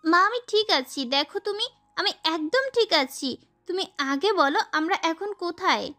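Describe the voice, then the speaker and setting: A woman acts a sweet, pert young daughter in an audio story. The voice is extremely high and bright, with girlish expression. Soft music plays behind it. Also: expressive, unhurried, exaggerated voice. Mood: reassuring. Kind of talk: amateur audio story acting. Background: background music.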